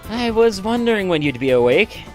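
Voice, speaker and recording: Lively-toned brainy gadgeteer girl woman acting. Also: medium pitch, fairly quick, faint music behind, lightly acted. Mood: composed. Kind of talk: cartoon video game acting.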